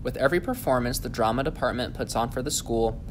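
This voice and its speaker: A man's voice: medium pitch, fast talker, heavy reverb, recorded from a distance. A teenage boy anchoring a school news show, reading his script in an upbeat announcer way. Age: teens. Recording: noisy school broadcast audio.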